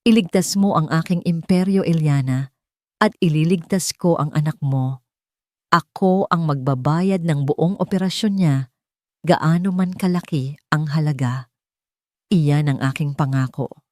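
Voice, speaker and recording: A woman's voice: deep voice, a little slow, a little mellow, dry recording, studio quality. A woman voicing a desperate, commanding millionaire boss in an audiobook, serious, weighty and insistent. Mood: urgent, pleading.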